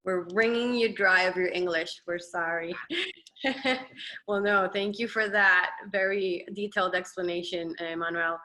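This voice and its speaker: Webinar moderator, a woman with a bright, crisp voice, reads questions. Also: lowish voice, fairly even intonation, unhurried. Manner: reads out audience questions, friendly thanks. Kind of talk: moderating a live Q&A.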